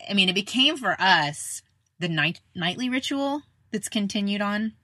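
A woman's voice: mid-range voice, expressive, moderate pace, bright, forceful tone, dry recording, clean studio sound. A middle-aged woman hosting a podcast, chatting informally in a casual interview style. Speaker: podcast host, speech-language pathologist.

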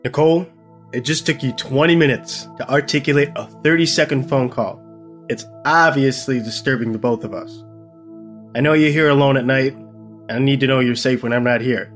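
A woman's voice: rumbling low, brisk, faint music behind, voice pushed down. A woman voicing a caring young boyfriend who speaks earnestly and reassuringly. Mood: concerned.

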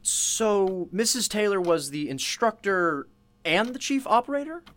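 Lively, curious woman interviewer. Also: mid-range voice, a little slow. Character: attentive, easily impressed radio interviewer. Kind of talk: radio play acting as a conversational interview.

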